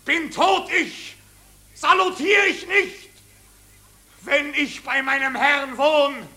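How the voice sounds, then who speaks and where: Very high, strained dying soldier. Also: male voice, full character voice. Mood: bitter defiance. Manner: strained, declamatory, rising to shouts. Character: defiant dying soldier, a father. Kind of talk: impassioned verse radio drama.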